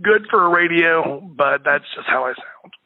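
A young man with a naturally husky, raspy voice, recalling his family's hardship in an interview, sometimes breaking up with emotion. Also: fairly high pitch, animated, normal speed, dry recording. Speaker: interviewee, victim's stepson. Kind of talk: recorded interview clip. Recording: tinny phone-line audio.

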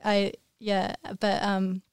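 A young woman from New Zealand with a New Zealand accent, chatting casually as a podcast guest in a laid-back way, full of "like" and quick laughs. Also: mid-range voice, monotone, clear, resonant tone, no echo, studio quality. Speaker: podcast guest, pro snowboarder. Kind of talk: casual chat.

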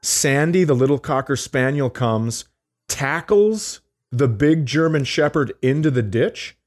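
A man with a medium-pitched voice co-hosts a casual comedy podcast. He banters and tells stories, teasing and swearing, sometimes with a mock-serious delivery. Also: animated, a little slow. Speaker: podcast co-host.

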